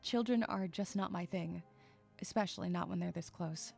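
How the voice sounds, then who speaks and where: A woman's voice: fairly low pitch, normal speed, creaky, a little mellow, faint music behind. A woman playing a troubled, withdrawn young loner who narrates her own story in an introspective, emotive way. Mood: wary, irritated. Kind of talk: dramatic first-person story narration.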